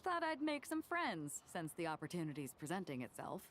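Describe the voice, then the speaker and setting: A woman's voice: lowish voice, sing-song, a little fast, a bit bright, slight background noise. A woman voicing a bold, sociable female sea captain in a video game, breezy and confident.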